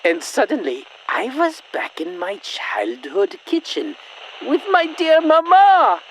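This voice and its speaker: A giddy, self-delighted inventor in an audio drama. The delivery is theatrical and very animated, slow and savoring, with faint noise behind it. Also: female voice, sits high, heavily acted, low-quality recording. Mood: grandly triumphant. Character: Captain Pedalsurp, giddy, self-delighted time-travelling inventor. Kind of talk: audio drama, dictated personal log.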